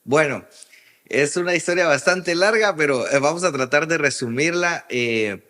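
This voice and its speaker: A man from Guatemala, an interview guest telling his story in a halting way, often repeating the starts of phrases. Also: fairly high pitch, expressive, pushed and bright. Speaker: guest, music producer and worship singer. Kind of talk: casual interview, personal storytelling.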